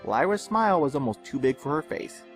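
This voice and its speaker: A man's voice: a little high, big pitch swings, a little fast, soft background music. A man narrating expressively as the storyteller and host of a fan fiction audio channel.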